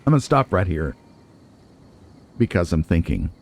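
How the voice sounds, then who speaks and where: A man's voice: deep voice, sing-song, normal speed, gravelly. A man giving casual let's play commentary, musing and thinking aloud as he goes. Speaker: let's player.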